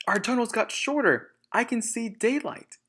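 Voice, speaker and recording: A man's voice: very high-pitched, lively intonation, normal speed, fairly bright tone, breathy edge, voice pushed up, exaggerated voice. A man voicing a small, anxious young fox in a read-aloud storybook.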